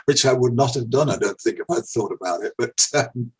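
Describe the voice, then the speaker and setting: A man's voice: mid-range voice, brisk, slightly rough. An older man, an actor giving a casual, anecdotal interview.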